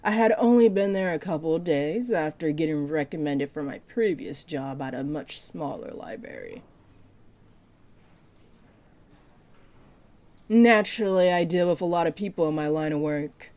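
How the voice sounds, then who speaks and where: A woman playing a flirty, possessive part-dragon librarian in an intimate roleplay audio drama, speaking to the listener in a teasing, deliberate way. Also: low voice, animated, a little mellow. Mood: fond, reminiscing. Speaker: voice actor.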